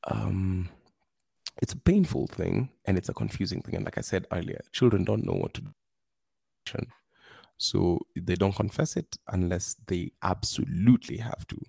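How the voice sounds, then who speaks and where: A man speaking as a podcast guest, giving earnest advice in a halting way, with frequent restarts. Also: deep voice, gravelly, a little mellow, slightly rough, dry recording, clean studio sound. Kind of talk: casual advice talk.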